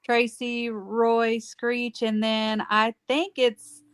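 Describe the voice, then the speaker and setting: A middle-aged woman from Dayton, Ohio, a cast member, answering in a hesitant, unhurried way. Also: on the high side, little pitch movement, smooth, no room sound, clean studio sound.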